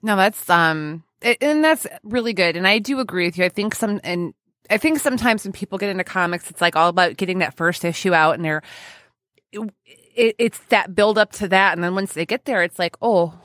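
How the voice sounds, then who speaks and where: A woman's voice: medium pitch, lively intonation, fairly quick, fairly bright tone, dry recording, studio quality. A woman hosting a podcast in casual interview chat, enthusiastic and effusive, often giggly. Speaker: podcast host.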